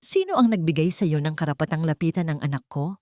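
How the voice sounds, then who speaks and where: A woman's voice: deep voice, expressive, fast talker, mellow, no echo, clean studio sound. A woman voicing a cold, menacing rich husband with a commanding, threatening manner in an audiobook drama. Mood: angry.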